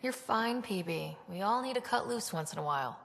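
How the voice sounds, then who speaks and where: A woman playing a calm, decisive young spaceship commander in a video game, speaking in a composed, direct way. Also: medium pitch, brisk, creaky, long reverb tail. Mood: casual, supportive. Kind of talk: video game cutscene acting.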